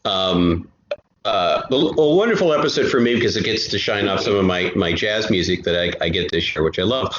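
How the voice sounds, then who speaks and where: A man speaking as an interview guest, explaining things casually in a halting way with repeated words. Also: low-pitched, expressive, a bit bright. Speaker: guest, audio drama creator and musician. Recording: roomy, dull, noisy remote connection.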